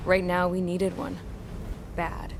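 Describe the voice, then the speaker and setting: An actress playing a gritty young aircraft mechanic in a video game, talking in a plainspoken, wry, folksy way. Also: female voice, on the low side, monotone, slow, creaky, noisy background. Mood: wry, reflective. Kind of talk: dramatic video game narration.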